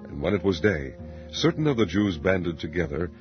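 A man narrating a scripted dramatic scripture reading in a solemn, measured way, with emphasis on quoted speech. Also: sits low, sing-song. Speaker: Bible narrator. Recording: dull lo-fi audio with music underneath. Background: background music.

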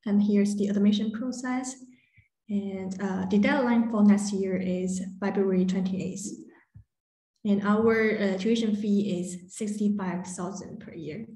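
A woman presenting a university program in a webinar over a video call, pausing often with fillers. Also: medium pitch, fairly even intonation, mellow, reverberant, poor audio. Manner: hesitant, many "uh" fillers. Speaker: admissions presenter.

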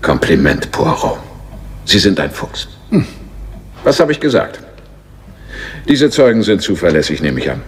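An actor playing a genial, plain-spoken police inspector, deferential and dryly amused, in a German radio crime drama. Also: male voice, low-pitched, sing-song, measured pace, long pauses, slight background noise. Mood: impressed, amused. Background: music.

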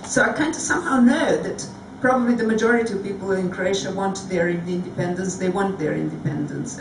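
A woman's voice: mid-range voice, far from the mic. Belgrade-accented woman on stage, reflective, echoey room and noise behind. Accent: Belgrade Serbian. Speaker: panelist. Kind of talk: panel discussion. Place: large theatre stage before an audience. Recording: echoey hall recorded from afar.

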